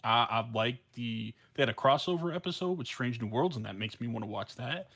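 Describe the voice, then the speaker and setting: A man chatting casually as a podcast panelist, talking in a rambling, halting, self-deprecating way. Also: mid-range voice, lively intonation, a bit bright. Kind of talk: casual fan chat.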